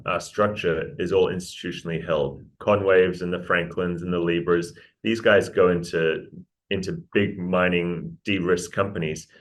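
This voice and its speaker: A man with a New Zealand accent, a company CEO pitching his firm in an interview, upbeat and restarting words often.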